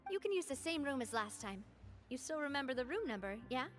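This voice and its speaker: A woman's voice: high-pitched, big pitch swings, fast talker, fairly bright tone. A woman voicing a confident, easygoing young woman in a video game who teases her friends and laughs often. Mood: brisk. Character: confident young woman leader, warm and playful. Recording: game audio with faint music underneath.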